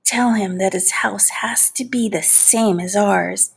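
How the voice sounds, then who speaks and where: A woman voicing scandalized, fussy storybook neighbors, exclaiming in drawn-out, emphatic phrases. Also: medium pitch, vocal fry, husky, heavily acted. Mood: indignant.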